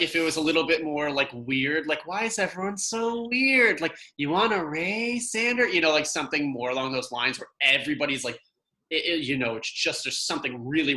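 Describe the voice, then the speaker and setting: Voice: mid-range voice, pushed and bright. An actor talking casually and tentatively in a group discussion, giving notes on a script.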